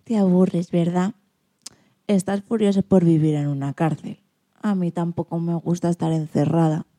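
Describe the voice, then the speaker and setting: A woman's voice: lowish voice, steady tone, moderate pace, dark tone, lightly acted. A woman playing a kind, sensitive young schoolgirl in a children's radio play. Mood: gentle, sad. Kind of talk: children's radio drama acting.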